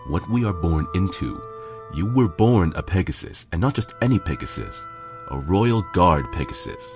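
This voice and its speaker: A man's voice: low-pitched, very animated, normal speed, a little mellow, faint music behind. A man playing a stern, proud pony father and guard veteran, lecturing emphatically in a fan-made audio drama.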